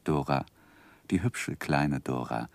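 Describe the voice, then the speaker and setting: A man's voice: very deep voice, takes it slowly, vocal fry, no room sound, full character voice. An actor playing an earnest, tender, lovestruck young man who tells his life in a 1960s German radio drama, with careful literary phrasing. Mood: reflective narrating.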